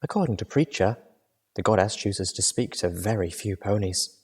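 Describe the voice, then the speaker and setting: A man voicing a gentle, refined healer mare who speaks in a hushed way. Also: lowish voice, animated, normal speed, husky. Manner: soft, hushed.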